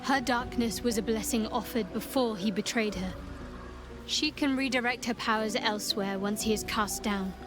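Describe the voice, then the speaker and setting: A guarded, devout cleric from a fantasy game, played by a woman. Her voice is fairly high, cool and formal, set over music. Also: moderate pace, close to an everyday voice. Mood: cool, resolute. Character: devout, guarded female cleric of dark goddess. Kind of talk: video game dialogue.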